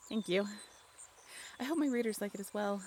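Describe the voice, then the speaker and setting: A woman acting a bashful, affectionate young writer on a date in an intimate audio roleplay, halting and playfully teasing. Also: mid-range voice, darkish tone, slight background noise, natural voice.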